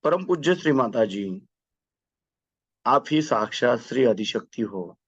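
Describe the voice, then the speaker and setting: A man leading a guided meditation with prayer, giving step-by-step instructions in a measured, devotional manner. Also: mid-range voice, expressive, breathy edge, no echo, muffled recording.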